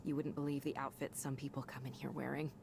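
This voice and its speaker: An actress playing a chatty, upbeat supervisor in a visual novel game, talking in brisk, reassuring corporate orientation patter. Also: female voice, extremely low pitch, level tone, creaky, darkish tone.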